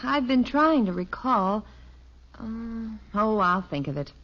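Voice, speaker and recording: A muffled vintage radio recording of a wife and mother. Her fairly high voice is gentle and unhurried, mildly skeptical in sitcom style. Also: lively intonation. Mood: gently skeptical. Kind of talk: 1950s radio sitcom acting.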